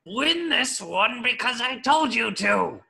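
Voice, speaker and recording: A man's voice: very high-pitched, expressive, slow, creaky, pushed and bright, heavily acted. A man voicing a scheming, bombastic tiny cartoon villain who goads and bosses in a grandiose way. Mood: bossy, resentful. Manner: grandiose, goading. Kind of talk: cartoon script voice acting.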